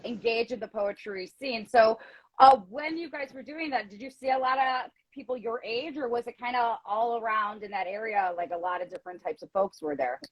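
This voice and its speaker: A woman's voice: a little high, fairly quick, lo-fi sound. A woman around forty hosting a casual chat, blunt and candid, with free swearing. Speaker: podcast host.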